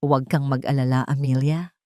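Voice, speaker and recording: A woman's voice: very deep voice, steady tone, brisk, warm tone, dry recording, clean studio sound. A woman voicing an anguished, determined male doctor in an audio drama, with an intense, emotional manner.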